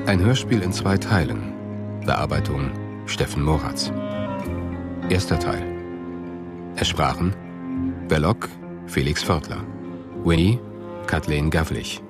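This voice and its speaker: A man reading a scripted title and cast announcement for a radio drama. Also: deep voice.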